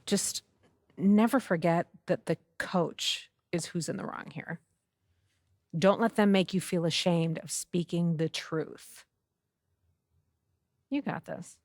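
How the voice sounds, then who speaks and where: An actress playing a supportive, savvy American publicist in a video game, coaching and encouraging. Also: female voice, lowish voice, slow, long pauses, a little mellow, no echo, clean studio sound. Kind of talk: naturalistic game cutscene dialogue.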